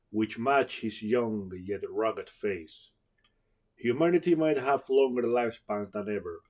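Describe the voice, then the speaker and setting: Male narrator for short fiction: fairly low voice, dramatic scripted storytelling. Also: a little mellow.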